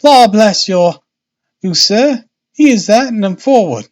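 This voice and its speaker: A man's voice: high voice, animated, measured pace, pitched above the performer's own voice. A man voicing a harried, talkative working-class Victorian woman from a storybook, anxious and exclamatory in manner. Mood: chatty, flustered. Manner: chattering, exclamatory, colloquial.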